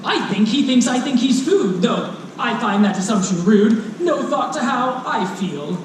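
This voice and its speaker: Storyteller's echoey, slow, grumbling gentle dragon. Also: mid-range voice, lively intonation, lightly acted. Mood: weary, indignant. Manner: slow, haughty, mournful grumbling. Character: weary, grumbling gentle giant dragon, male, proud. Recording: echoey, distant live recording with noise.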